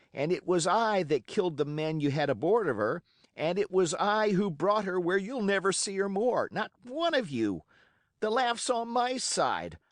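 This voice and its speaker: A man voicing a bold young cabin boy who speaks in an excited, defiant rush. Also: medium pitch, dry recording, clean studio sound, voice pushed up. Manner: breathless, rising, defiant pleading.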